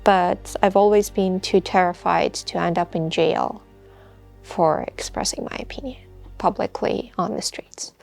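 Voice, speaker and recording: Young woman, from Russia, interview, hesitant, slow, gravelly, outdoors on campus. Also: on the low side, steady tone, a little mellow, faint music behind. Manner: earnest, hesitant, many pauses and fillers. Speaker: master's student speaking about a protest. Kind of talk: interview answers to camera.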